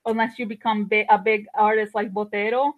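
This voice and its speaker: A woman's voice: mid-range voice, level tone, brisk. Woman on a tinny line. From Colombia. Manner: chatty, many fillers and restarts. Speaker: guest artist. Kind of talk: casual interview chat.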